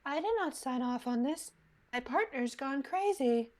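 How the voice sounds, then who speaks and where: A woman with a high, very expressive voice reacts casually to a show. She drops playful, exasperated asides like a host among friends. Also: measured pace.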